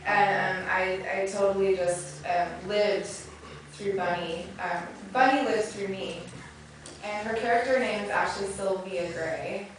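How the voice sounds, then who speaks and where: A woman with a mid-range voice speaks as an actress on a film panel, far from the mic in a very echoey theatre. Her phrasing is halting and deliberate. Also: medium pitch. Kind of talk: panel Q&A answer. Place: movie theatre Q&A. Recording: distant, very echoey theatre, noisy.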